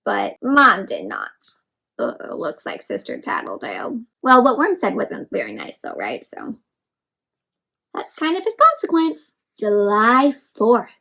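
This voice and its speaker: A woman reading a picture book aloud for children in an animated, encouraging way, addressing them directly with questions and simple explanations. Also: fairly high pitch, a little slow, vocal fry. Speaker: read-aloud storyteller for children.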